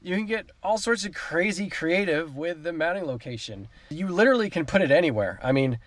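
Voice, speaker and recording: A man's voice: on the high side, expressive, fairly quick. A man hosting a car DIY video, talking viewers through an installation in a casual, conversational, explanatory way. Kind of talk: casual how-to walkthrough.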